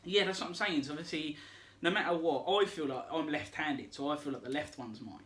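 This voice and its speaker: A man's voice: on the high side, a little fast, a bit bright. A young man, co-hosting a casual podcast, chatting and teasing as he reads out listener questions. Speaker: podcast co-host. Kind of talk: casual chat.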